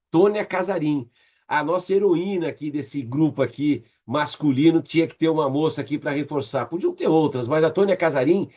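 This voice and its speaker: A man with a Brazilian Portuguese accent speaks as a trainer leading a group session. His voice sits a little higher than average for a man. He explains in a didactic but conversational way, often turning to his listeners with short tag questions. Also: darkish tone. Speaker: leadership trainer.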